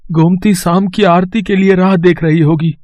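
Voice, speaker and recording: Man voicing a plaintive, high-voiced poor rickshaw puller. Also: fairly even intonation, normal speed, a little mellow, dry recording, highs cut off, lightly acted. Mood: weary, sad. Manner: plaintive, weary, prayerful. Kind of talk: cartoon moral story acting.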